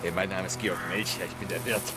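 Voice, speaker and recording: An actor playing a shifty innkeeper with a hesitant, obsequious manner in a German children's radio play. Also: male voice, sits low, fairly even intonation, quick pace, over music, natural voice. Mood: fawning, flustered. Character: shifty, fawning male innkeeper hiding bad intent.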